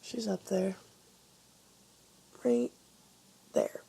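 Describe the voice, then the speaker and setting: A woman vlogger with a hoarse voice, chatting casually and rambling with lots of ums from her basement bedroom. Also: mid-range voice, wildly swooping pitch, very slow, mellow, slight background noise, rough recording. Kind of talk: casual chat to camera.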